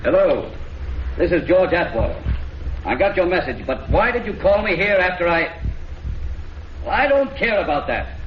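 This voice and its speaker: High-voiced agitated young schemer. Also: male voice, big pitch swings, normal speed, long pauses. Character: agitated young well-off schemer, defensive and curt. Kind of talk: 1940s radio crime drama acting. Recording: roomy, dull, noisy old radio recording.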